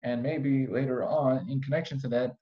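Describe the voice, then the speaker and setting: A man's voice: mid-range voice, steady tone, moderate pace. Dull-sounding male podcast host, playfully rambling. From Iran. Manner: rambling, playful mock-serious asides. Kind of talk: casual conversational interview.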